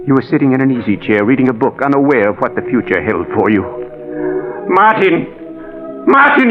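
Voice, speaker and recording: An actor playing an earnest dead man's spirit, narrating solemnly and theatrically in 1940s radio style. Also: fairly high pitch. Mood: urgent pleading. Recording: thin, phone-like old radio recording.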